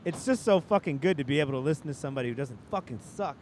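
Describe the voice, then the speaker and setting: Excitable male podcast host, a bit high-pitched, swears a lot. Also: racing, noisy background. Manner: excitable, hyped, swears freely. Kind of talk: casual podcast interview chat.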